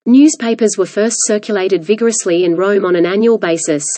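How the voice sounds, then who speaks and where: A woman's voice: medium pitch, a little fast, dark tone, no echo. Woman reading aloud, close dry recording. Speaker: exam practice model reader. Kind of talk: read-aloud scripted narration.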